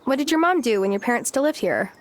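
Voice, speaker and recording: A high, very animated voice from an actress plays a young woman new to island farm life. She is friendly and curious, with a light teasing touch, in cozy video game style. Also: fast, lightly acted.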